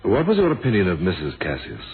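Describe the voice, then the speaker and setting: Deep-voiced, methodical police inspector. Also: male voice, huge pitch swings, breathy edge, exaggerated voice. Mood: calm, pondering. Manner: polite, probing, deliberate. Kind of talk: 1970s radio drama acting. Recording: thin, phone-like old radio recording.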